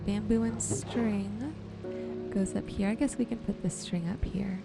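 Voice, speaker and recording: A woman narrates her gameplay in a soft-spoken ASMR style at a very slow pace. Her pitch sits in the middle range for a woman, and she muses aloud to herself and her viewers. Music and rain sounds play clearly behind her voice. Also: fairly even intonation. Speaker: gaming streamer and host.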